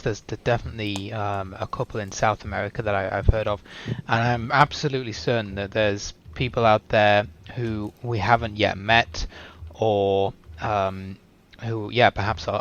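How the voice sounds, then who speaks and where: A man's voice: on the low side, fairly bright tone, muffled recording. A man hosting a casual online round table, speaking in a friendly, affirming, rambling way.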